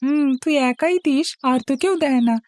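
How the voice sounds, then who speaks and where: Woman voicing a high-pitched eager little boy. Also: brisk, a little mellow, natural voice. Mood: boastful. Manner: childlike, pleading and argumentative. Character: eager, chatty, pouty young village boy Raju. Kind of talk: Bengali cartoon story acting. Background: music.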